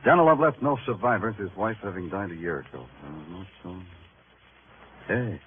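An actor playing a world-weary, hard-boiled New York detective, wry and terse, in a vintage noir radio drama. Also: male voice, fairly low pitch, sing-song, moderate pace, slight background noise. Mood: wry, intent. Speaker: lead character and narrator. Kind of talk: 1940s-50s noir radio drama narration and dialogue. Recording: tinny old radio recording with music.

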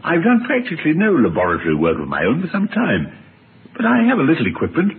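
An actor playing a genial, inquisitive older small-town doctor in an old-time radio drama, musing and avuncular. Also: male voice, on the high side, huge pitch swings, fast. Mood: curious. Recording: tinny old radio recording, faint noise.

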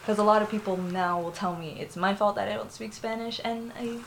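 A woman's voice: medium pitch, level tone, brisk, slight background noise. A young woman from Florida with a Puerto Rican family, telling a personal story in a candid, rambling way, full of fillers and laughs. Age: young adult. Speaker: interviewee.

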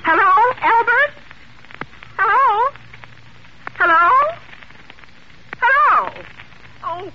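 A querulous, panicky invalid woman in a golden-age radio drama. Her voice is extremely high and swoops wildly as she demands and frets with theatrical indignation. The old recording is muffled and plainly noisy. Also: heavily acted. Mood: frantic. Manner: shrill, indignant, melodramatic and demanding. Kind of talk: 1940s radio thriller acting.

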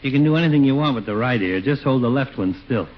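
An actor playing a husky, folksy, chatty small-town barber in a 1940s radio comedy. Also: male voice, mid-range voice, expressive, fast talker, lightly acted. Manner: folksy, ungrammatical, casual. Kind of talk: 1940s radio sitcom acting. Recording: dull 1940s radio recording.

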